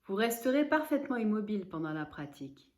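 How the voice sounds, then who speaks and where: A woman leading a guided relaxation as a yoga nidra instructor, giving calm, measured instructions with pauses. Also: mid-range voice.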